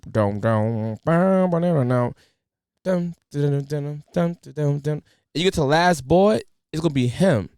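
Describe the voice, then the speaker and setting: A man's voice: fairly high pitch, dry recording, clean studio sound. Slangy ranting man on a podcast. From United States. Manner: animated, preachy, colloquial slang. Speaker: podcast host. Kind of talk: casual podcast rant.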